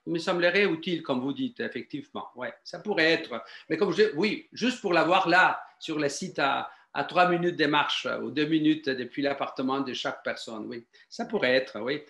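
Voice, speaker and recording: A man giving a halting, polite opinion to a public hearing over a call, often repeating himself. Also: mid-range voice, fairly bright tone, roomy sound. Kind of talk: spoken opinion to a panel. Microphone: video or phone call.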